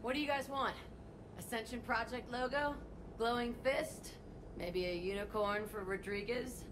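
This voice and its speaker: A woman's voice: a little high, measured pace, noisy background, close to an everyday voice. An actress playing a tough, brash, foul-mouthed woman instructor in a video game, blunt and sarcastic. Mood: swaggering.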